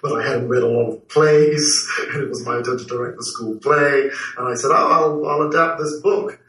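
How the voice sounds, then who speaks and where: A man in his forties from Malaysia and London, a guest telling stories in a reflective way with many pauses and fillers. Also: mid-range voice, fairly even intonation, a bit bright. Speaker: guest, TV producer and writer. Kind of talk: relaxed interview answers. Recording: roomy, distant from the mic.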